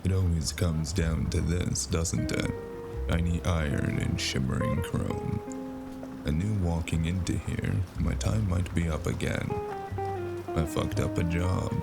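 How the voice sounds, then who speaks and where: A man playing a world-weary, haunted noir smoker in an audio drama, brooding and sardonic, speaking in a measured way. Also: sits low, creaky. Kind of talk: noir audio drama with first-person narration. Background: loud cinematic music.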